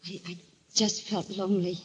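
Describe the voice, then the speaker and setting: An actress in a vintage radio drama playing a timid, frightened wife being driven toward madness, faltering and pleading. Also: female voice, lowish voice, level tone, moderate pace, darkish tone, lo-fi sound, natural voice. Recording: noisy vintage radio recording.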